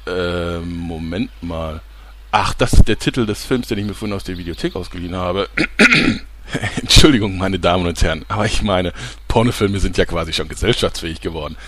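Man acting a deep-voiced, theatrical TV reporter. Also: animated, normal speed, bright, forceful tone, a little hiss or hum. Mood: flustered. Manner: dramatic announcer delivery with embarrassed stammers. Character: pompous sleazy male TV reporter, easily flustered. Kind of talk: mock investigative report in an audio parody.

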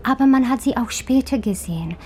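A woman's voice: sits high, expressive, fairly quick, darkish tone, soft background music. An actress in a radio drama playing an anxious Holocaust survivor searching for her lost sisters, hesitant and insistent. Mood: urgent, insistent.

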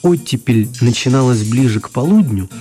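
A man narrating a children's radio play as an expressive, mock-serious storyteller. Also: medium pitch, moderate pace, faint music behind.

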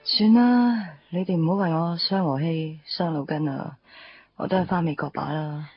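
A woman's voice: low-pitched, normal speed, husky. An actress playing a confident, teasing young female graduate in a radio drama. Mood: resigned. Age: young adult. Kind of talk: Cantonese radio drama acting. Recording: dull radio drama recording with music.

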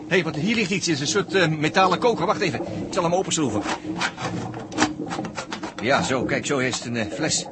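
A man's voice: on the high side, expressive, fairly quick, long pauses, very noisy, close to an everyday voice. A man with a Netherlands Dutch accent acting a practical, easygoing, wry space crewman in a 1960s science-fiction radio drama, musing and conversational. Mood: urgent.

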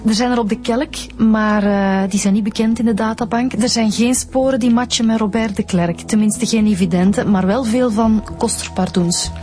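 An actress with a Flemish accent playing a matter-of-fact forensic investigator in a radio drama, casual and practical. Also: female voice, a little high, steady tone, moderate pace, hardly any pauses, mellow, close to an everyday voice. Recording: faint music behind the dialogue.

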